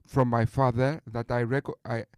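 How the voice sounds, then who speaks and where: A man's voice: mid-range voice, little pitch movement, moderate pace, smooth, no room sound. Boastful rambling man on a stream. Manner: boastful, rambling with many restarts. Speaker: streamer host. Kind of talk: casual live-stream talk.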